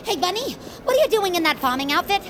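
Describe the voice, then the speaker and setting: A woman voicing an excitable, childlike, anxious amateur stage performer in a cartoonish audio drama, wide-eyed and earnest. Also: very high voice, fast talker, crowd noise. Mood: excited.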